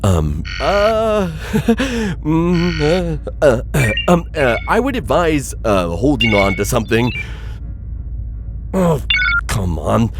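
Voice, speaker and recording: Man acting as a flustered alien bounty hunter, wildly expressive. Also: medium pitch, slow pace, music in the background. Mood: frantic, straining. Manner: flustered, hesitant, formal and wordy. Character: anxious, pleading insectoid alien bounty hunter, tied up. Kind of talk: scripted audio roleplay addressed to listener.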